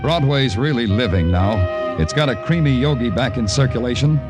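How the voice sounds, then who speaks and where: An actor playing a world-weary, brooding police detective in a somber, dramatic 1940s radio noir style. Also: male voice, mid-range voice, moderate pace, close to an everyday voice. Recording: 1949 radio broadcast with loud music.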